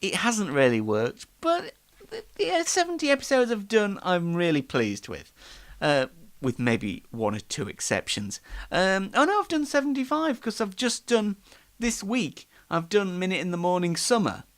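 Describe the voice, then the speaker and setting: A man's voice: high-pitched, huge pitch swings, normal speed, fairly bright tone. A man hosts an online show in a casual, rambling, self-deprecating live monologue.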